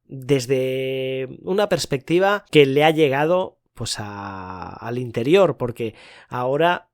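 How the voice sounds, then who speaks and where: A man's voice: mid-range voice, expressive, slow pace. A man with an accent from Spain, presenting a podcast in a conversational style as its host. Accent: Spain Spanish.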